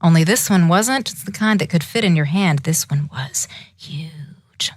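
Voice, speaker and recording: A woman's voice: on the low side, a little slow, no echo, studio quality. A woman telling a fairytale live, in a dramatic, emphatic way, drawing her listeners in as she goes. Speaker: storyteller.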